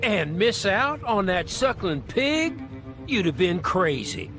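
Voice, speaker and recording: Folksy American agent, high voice, middle-aged, jovial, breezy, game cutscene, loud music. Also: male voice, very animated, slow pace, heavily acted.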